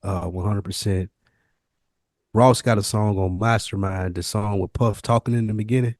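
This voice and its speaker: A man with a fairly low voice co-hosts a casual podcast. He talks in a slangy, conversational way, and the sound is very dry with no room around it. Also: steady tone, moderate pace, darkish tone, no room sound. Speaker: podcast co-host.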